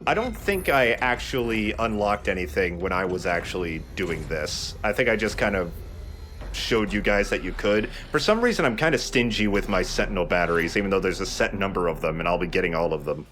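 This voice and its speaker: A man's voice: low-pitched, animated, a little fast, a bit bright, soft background music. A man giving casual commentary over video game footage as a let's play commentator.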